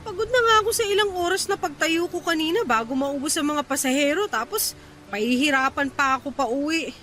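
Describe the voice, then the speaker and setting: A woman's voice: high voice, a little fast, pushed and bright, faint music behind. An actress in a Filipino radio drama playing an irritated, tired young woman stranded late at night, complaining in exasperation. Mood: weary complaint.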